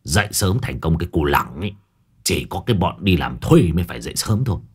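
A man doing a gruff crude sidekick. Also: low voice, expressive, fast, darkish tone, pitched below the performer's own voice, exaggerated voice. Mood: scornful. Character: lazy gruff young male sidekick, crude and cynical.

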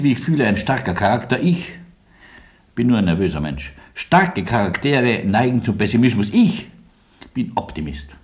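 An actor playing a nervous, eagerly optimistic émigré gentleman in a radio play, with ceremonious, earnest and emphatic speech. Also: on the low side. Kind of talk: German radio play acting.

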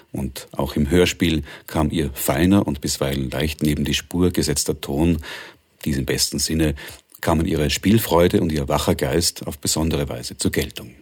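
A man's voice: a bass voice, darkish tone, husky. A man reading a scripted introduction as a radio presenter.

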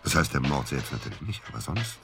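A man's voice: very animated, fast, over music, full character voice. An actor playing a cornered, sardonic murder suspect in a radio drama, needling his friend in with dry irony. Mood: taunting, controlled. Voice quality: very deep, gravelly. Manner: dry, ironic, taunting. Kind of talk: German radio drama acting.

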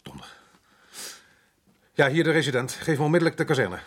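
An actor playing a brusque, impatient colonial resident in a radio drama, gruff and bossy. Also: male voice, on the high side, very animated, brisk.

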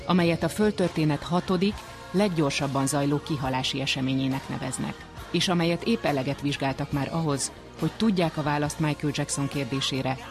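A man's voice: a little high, fairly even intonation. A man presenting a scripted radio documentary as narrator and interviewer. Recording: music behind the voice. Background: music.

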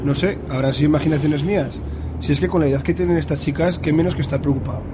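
A man's voice: a little high, fast talker, noisy background, lightly acted. A man acting a blustery, bigoted middle-aged conservative father with a Spanish accent in a radio drama. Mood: scornful, worried. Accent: Spain. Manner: blustery, grumbling. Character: grumbling bigoted hard-line conservative father. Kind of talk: colloquial radio drama dialogue.

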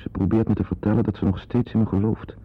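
A gloomy, soft-hearted pet owner in a radio drama. His voice sits low and rough-edged, with a brooding, hesitant delivery. The recording is muffled and lo-fi. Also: flat delivery, fast. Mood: wistful. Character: melancholy, protective male pet owner, narrating his thoughts. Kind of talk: radio drama acting, introspective narration and dialogue. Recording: dull lo-fi radio play recording.

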